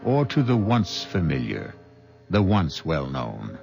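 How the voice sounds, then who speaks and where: A man narrating a radio mystery drama in a slow, solemn, brooding way. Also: extremely low pitch, huge pitch swings, gravelly, darkish tone. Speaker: host narrator. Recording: dull old radio broadcast with music.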